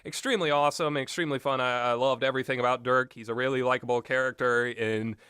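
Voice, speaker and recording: A man's voice: mid-range voice, fairly even intonation, fast, sharp tone, smooth, no room sound, clean studio sound. A man giving rambling, informal and opinionated commentary on games, speaking off the cuff with emphatic repetition. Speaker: commentator reviewing visual novel games.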